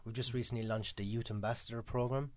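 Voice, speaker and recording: A man with an Irish accent, talking quickly and chattily as a radio guest, with many filler sounds. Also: medium pitch, fairly even intonation, a little mellow. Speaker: guest from a mental health charity. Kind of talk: radio interview answers.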